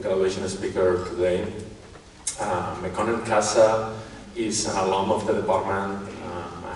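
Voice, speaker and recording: A man giving a ceremony introduction as a department chair, halting and repeating words for emphasis. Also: sits low, a little mellow. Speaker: university department chair introducing a graduation speaker. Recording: echoey hall, distant mic, faint noise.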